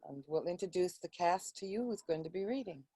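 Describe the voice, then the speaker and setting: Low-voiced woman hosting, dry sound. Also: animated, fairly quick, warm tone, breathy edge, no echo. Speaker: host. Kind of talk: reader's theater introduction.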